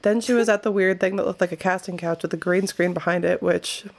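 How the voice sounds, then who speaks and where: A woman with a gravelly, fry-laden voice, chatting casually and candidly as a podcast co-host, with crude joking and animated storytelling. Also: lowish voice, a little fast. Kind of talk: casual comedic podcast chat.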